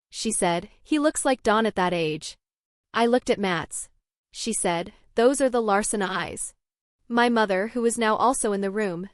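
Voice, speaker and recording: Measured woman narrating her own story. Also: medium pitch, no room sound, clean studio sound. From Norway. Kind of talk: scripted first-person story narration.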